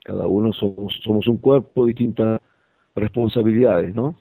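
Low, gravelly man on phone-like audio. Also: fairly even intonation, no echo. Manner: hesitant, halting. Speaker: participant in a religious discussion. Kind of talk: reflective religious talk. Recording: thin phone-like sound.

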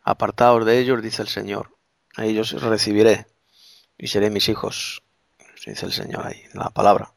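A man's voice: fairly low pitch, flat delivery, moderate pace, vocal fry, dry recording, clean studio sound. A man with a Castilian Spanish accent exhorting in a religious discussion, emphatically repeating his points.

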